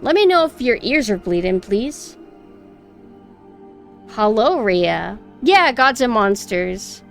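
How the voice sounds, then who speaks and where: A young woman with a high voice, chatting casually and snarkily on a stream, her close mic clipping on loud peaks. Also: sing-song, slow, creaky, long pauses, faint music behind. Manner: chatty, rambling, mockingly snarky. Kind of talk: casual reaction stream chat. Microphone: close mic that clips loud peaks.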